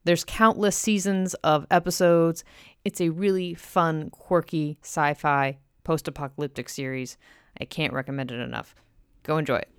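Clear, dry-recorded woman hosting a podcast. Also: sits low, steady tone, normal speed, dry recording. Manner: enthusiastic, emphatic. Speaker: podcast host. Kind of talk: podcast introduction, semi-scripted.

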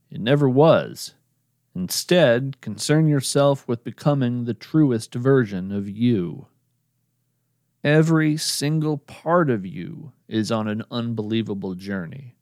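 A man narrating his podcast in a slow, measured, earnest scripted address to the listener. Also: medium pitch, a little mellow, no echo, clean studio sound.

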